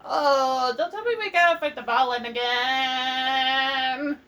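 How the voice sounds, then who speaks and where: High-voiced woman commenting on gameplay. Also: slow, bright, forceful tone, faint music behind. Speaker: let's player.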